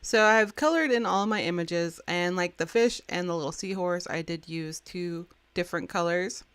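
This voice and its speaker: A young woman talks through a card-making tutorial in a relaxed, chatty voice-over, laughing off her own messiness. Her voice sits a little low and is smooth and resonant, with a slight morning rasp. It is recorded dry and close, with no room sound. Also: normal speed, dry recording. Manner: chatty, self-deprecating, with a few restarts. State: slightly raspy, just woken up. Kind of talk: casual voice-over craft tutorial.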